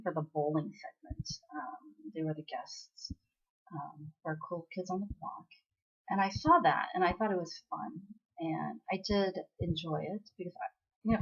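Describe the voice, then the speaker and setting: A woman's voice: lowish voice, husky, lo-fi sound. An American woman who vlogs about dramas, chatting in a meandering way with lots of fillers. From United States, born in Korea. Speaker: drama vlogger. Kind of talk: casual rambling chat.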